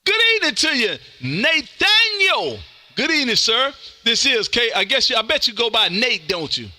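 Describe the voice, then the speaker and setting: A loud, folksy man from Mississippi hosting amateur radio contacts with an exuberant, chatty on-air delivery. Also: very high voice, big pitch swings, moderate pace, a little hiss or hum. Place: indoor home radio room.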